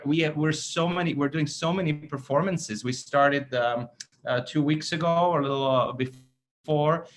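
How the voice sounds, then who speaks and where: A man speaking as an orchestra conductor in an interview, halting and often stammering as he searches for words. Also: fairly high pitch, fairly bright tone. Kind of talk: interview talk.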